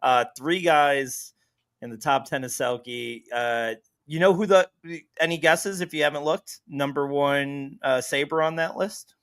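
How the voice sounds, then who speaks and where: A man's voice: a little high, unhurried, fairly bright tone, dry recording, clean studio sound. A man hosting a casual sports podcast who jokes, laughs a lot and swears now and then. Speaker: podcast host.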